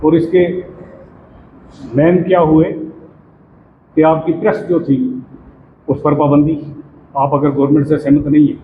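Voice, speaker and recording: A man giving an explanatory political statement at a press conference, halting, in short phrases. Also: fairly high pitch. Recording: phone-like sound, echoey reverberant room, noisy.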